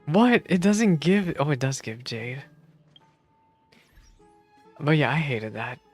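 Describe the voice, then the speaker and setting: A live streamer reacts to a game in loose, casual chatter. The voice sits at a middle pitch and rambles as it thinks aloud, with faint game music behind it. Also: very animated, slow pace, darkish tone.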